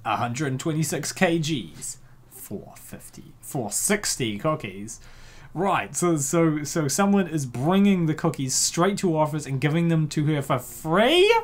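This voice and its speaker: A man's voice: fairly high pitch, animated, measured pace. A man hosting casual comic commentary, jokey and given to exaggerated reactions. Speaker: host.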